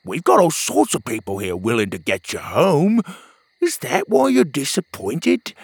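A man's voice: a little high, wildly swooping pitch, unhurried, breathy edge, dry recording, studio quality, voice pushed up, heavily acted. A man doing a teasing, loyal young sidekick. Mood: reassuring. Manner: teasing, reassuring.

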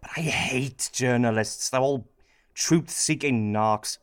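Woman playing very low-voiced, petulant British Prime Minister. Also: slow pace, clear, resonant tone. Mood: grumbling. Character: weak, petulant, dim Prime Minister, easily manipulated by spouse. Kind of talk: satirical radio play acting.

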